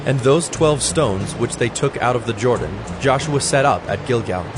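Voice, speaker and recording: Measured male scripture narrator over music. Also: mid-range voice, animated. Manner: measured, formal storytelling.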